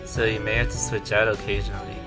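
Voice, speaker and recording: Male actor as loyal protective ally, lowish pitch, earnest, courteous, soothing, audio drama, loud guitar music behind. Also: little pitch movement, fast, natural voice. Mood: accommodating. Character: earnest, reassuring male guardian and legal ally.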